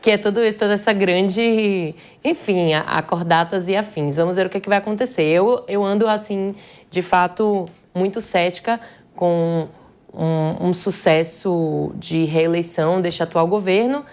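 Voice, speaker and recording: Female Brazilian political commentator, slightly low register, live panel analysis. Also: normal speed, darkish tone.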